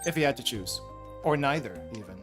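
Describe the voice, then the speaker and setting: An easygoing man hosts a chatty gaming stream in a medium-pitched voice, often stumbling and repeating words as he gets going. Faint game music sits under his desk-mic talk. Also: lively intonation, fairly quick. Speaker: streamer host. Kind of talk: casual chat while gaming.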